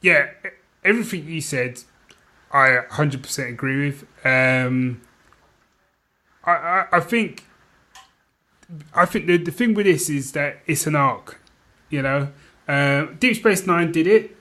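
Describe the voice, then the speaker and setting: British male co-host, somewhat high bright voice, casual conversational delivery. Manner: informal, checks in with co-host. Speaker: podcast co-host. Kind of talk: casual chat.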